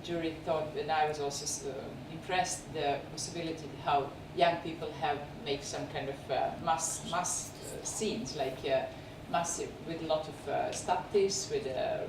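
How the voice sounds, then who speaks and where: A woman with a Serbian accent hosting a film festival, speaking unscripted and haltingly as she searches for words. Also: low voice, fairly even intonation. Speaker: film festival host introducing films and guests. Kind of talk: unscripted spoken introduction and interview. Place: echoey screening venue. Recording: echoey, noisy room, far from mic.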